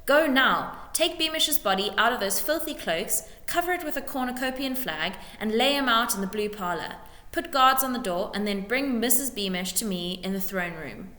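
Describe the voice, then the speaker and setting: A woman's voice: on the high side, animated, moderate pace, bright, forceful tone. A woman voicing a scheming, bullying male lord in a storybook reading, with snarled orders and oily false sympathy. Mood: snarling, impatient. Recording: echoey room with long reverb.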